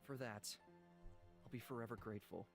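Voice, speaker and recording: An actor playing a gentle ancient god living in a human body, speaking in a measured, reflective way for a visual novel. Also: male voice, on the low side, vocal fry. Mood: tender, grateful. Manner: measured, solemn, reflective storytelling. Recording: music behind the voice. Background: instrumental music.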